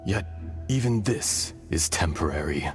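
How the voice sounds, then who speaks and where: An actor playing an ancient earth dragon in a video game, speaking formally and philosophically in a solemn, weighty voice. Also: male voice, animated, measured pace. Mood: philosophical, grave. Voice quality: low, weighty. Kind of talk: dramatic video game voice acting. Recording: music behind the voice. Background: game music.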